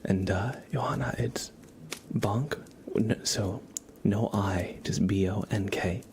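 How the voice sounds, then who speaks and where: A man doing casual ASMR live-stream chat in a soft, whispery, hushed voice, rambling informally. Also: on the low side, steady tone, slow, background noise.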